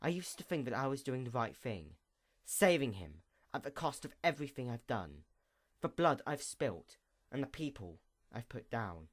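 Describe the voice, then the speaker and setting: A man's voice: medium pitch, big pitch swings, normal speed. A man acts out a weary female horned creature of magic in a fantasy storybook. She muses sombrely and full of doubt, with a widely varying, questioning lilt.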